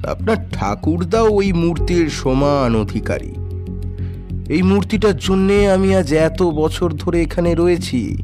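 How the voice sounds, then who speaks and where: A man playing a scheming, threatening villain in an audio detective story, drawing out his words. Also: fairly high pitch. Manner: slow, drawn-out, threatening delivery. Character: menacing male villain, scheming hotel manager with a pistol. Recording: loud music bed under the voice.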